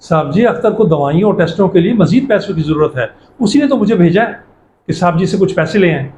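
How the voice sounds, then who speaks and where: A glib, scheming con man played by a male actor in a homemade moral drama. His voice sits a little high. He talks with flattering deference and a self-satisfied streak. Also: a little hiss or hum. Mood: pleading flattery. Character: sly, flattering con man cheating a rich employer. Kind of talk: amateur moral-story video skit acting.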